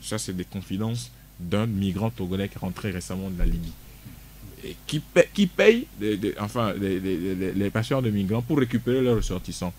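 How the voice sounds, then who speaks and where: A man's voice: lowish voice, animated, normal speed, darkish tone, a little hiss or hum. A man, a guest on a radio discussion show, speaking emphatically and often repeating words as he restarts. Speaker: studio guest.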